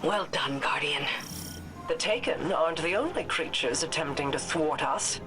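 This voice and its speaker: An actress playing a loyal, stern warrior commander in a video game, with clipped, resolute delivery. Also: female voice, low-pitched, expressive, normal speed. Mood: grave, commanding. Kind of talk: video game cutscene acting. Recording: loud music under the voice.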